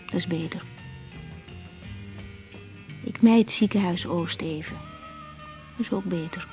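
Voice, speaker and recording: An actress playing a caring, reflective young woman doctor in a radio drama, gentle and slightly hesitant. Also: lowish voice, takes it slowly, faint music behind, poor audio. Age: young adult. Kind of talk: radio drama dialogue and diary-like narration.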